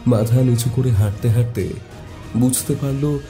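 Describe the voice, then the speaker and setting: A man narrating a scripted horror story in a suspenseful, deliberate, dramatic way. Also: medium pitch, dark tone, faint music behind.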